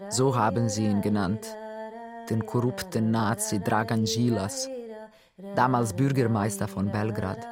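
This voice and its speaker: A bitter, accusing woman, delivers a crude radio play monologue. Her voice is extremely low for a woman, and music plays behind her. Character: bitter Roma woman denouncing corrupt officials.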